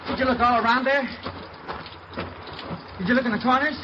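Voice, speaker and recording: An actor playing a nervous, evasive husband under suspicion in a 1950s radio drama, stammering and repeating himself. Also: male voice, very high voice, steady tone, a little fast. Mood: frantic, desperate. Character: nervous husband, murder suspect, evasive and increasingly frantic. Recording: dull noisy vintage radio recording.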